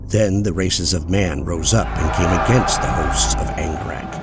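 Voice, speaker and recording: A man narrating a fantasy audiobook in a measured, solemn storytelling manner. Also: low voice. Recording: loud music bed under voice.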